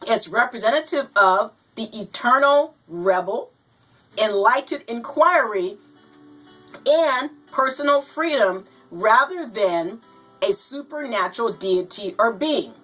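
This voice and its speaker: Hoarse, slow-talking woman host. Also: on the high side, lively intonation, fairly bright tone, faint music behind, poor audio. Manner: slow, halting, says "you know" and "now". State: hoarse from a cold. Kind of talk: reading and talking to viewers.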